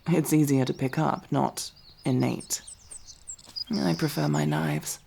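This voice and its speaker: A woman's voice: low-pitched, fairly even intonation, slow pace, gravelly, warm tone, a little hiss or hum, heavily acted. A woman playing a wry, loyal elf rogue in an intimate ASMR fantasy roleplay, with a casual, teasing, dryly supportive way of talking. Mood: thoughtful, encouraging.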